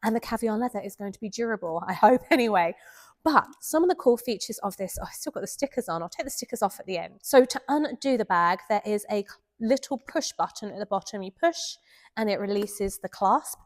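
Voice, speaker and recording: A woman's voice: mid-range voice, expressive, fairly quick. A woman with a head cold, sounding nasal, chatting enthusiastically through a handbag review. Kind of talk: casual product review vlog.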